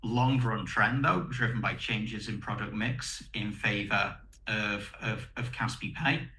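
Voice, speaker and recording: An echoey conference call recording carries a man's fairly low, bright voice. He speaks as a company executive presenting results. His delivery is methodical and explanatory, setting out one point after another with a firm, forceful tone. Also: a little slow.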